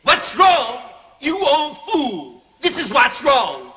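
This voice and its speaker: An actor playing a furious man in his sixties who screams at his servants in a shrill voice. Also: lively intonation, a little slow, sharp tone, big-room sound, dull audio, full character voice. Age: 60s. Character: enraged old male plantation master.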